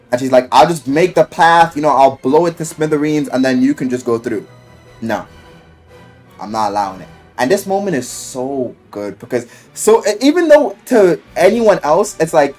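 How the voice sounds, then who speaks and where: A man giving a casual, enthusiastic recap of a story, animated and slangy, swearing freely and acting out the quotes. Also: medium pitch. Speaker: video game and visual novel reviewer. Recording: music playing behind the voice. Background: music.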